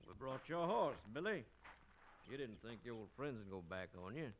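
Sly radio-western villain: mid-range, folksy, two-faced, vintage hissy sound. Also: male voice, medium pitch, big pitch swings, normal speed. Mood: falsely friendly. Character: sly, scheming western outlaw, falsely friendly. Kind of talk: old-time radio western acting. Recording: noisy vintage radio recording.

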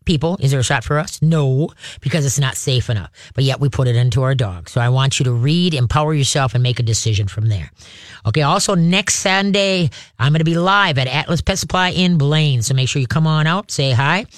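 A man's voice: fairly high pitch, a little fast, no echo, studio quality. A man hosting a radio talk show about dogs, chatting casually and rambling, often laughing at his own remarks. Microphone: studio microphone.

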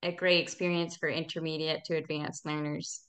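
A woman speaking as an interview guest in casual, halting conversation, often correcting herself as she explains. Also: sits low, level tone, a bit bright, poor audio. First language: English. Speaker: podcast guest, language club organizer.

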